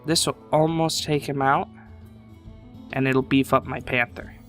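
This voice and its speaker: A man commentating his card game match casually, thinking aloud at an unhurried pace, his voice a little congested from a sinus infection. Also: mid-range voice, steady tone, music in the background.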